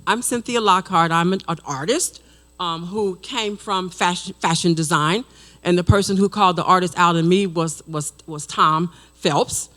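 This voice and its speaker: A woman artist in the audience giving an excited, effusive comment. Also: lowish voice, normal speed.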